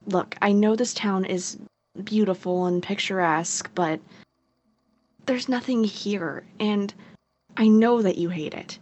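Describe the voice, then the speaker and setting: An actress playing a teasing, caring young sister in a naturalistic audio drama. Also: female voice, medium pitch, measured pace, darkish tone, slight background noise, close to an everyday voice. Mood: gentle, earnest. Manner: playful, teasing, sarcastic.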